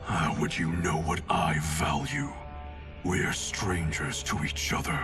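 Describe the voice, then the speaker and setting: A proud game ninja grandmaster with a very low voice. He speaks slowly in terse, haughty defiance. The voice sits a little off the mic, with music behind it. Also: big pitch swings, far from the mic, exaggerated voice. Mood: cold, defiant. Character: proud, stern male ninja clan grandmaster. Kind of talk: dramatic video game acting.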